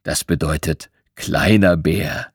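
A man voicing a kindly old bear spirit living in a flying rug, speaking gently and calmly. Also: a bass voice, takes it slowly, breathy edge, no room sound, studio quality, voice pushed down, heavily acted. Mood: gentle. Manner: gentle, chuckling, calm.

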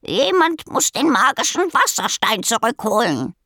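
A woman voicing a strange old male raven with a stunted wing, who croaks out slow, solemn rhymes in a storybook reading. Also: a little high, big pitch swings, sharp tone, dry recording, clean studio sound, voice pushed up, exaggerated voice. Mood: solemn urging. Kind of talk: storybook character voice.

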